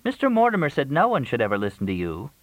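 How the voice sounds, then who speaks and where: A man's voice: medium pitch, huge pitch swings, quick pace, dry recording, voice pushed up. A man voicing a plaintive, needy, hungry woman in audiobook dialogue.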